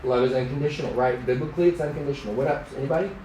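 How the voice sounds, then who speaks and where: A man's voice: mid-range voice, racing, a little hiss or hum. Joking middle-aged man teaching a church class. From Thailand. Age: 50s. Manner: animated and joking, teasing the class. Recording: echoey room.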